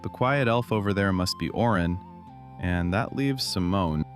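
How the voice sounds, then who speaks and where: A man as a low, gruff warrior. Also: unhurried, faint music behind. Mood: gruff curiosity. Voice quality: rough. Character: gruff, calm, broad-shouldered male warrior recruit.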